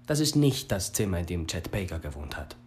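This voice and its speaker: An actor playing a businesslike hotel receptionist in a radio drama. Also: male voice, low-pitched, very animated, brisk, a little mellow, slight background noise. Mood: matter-of-fact.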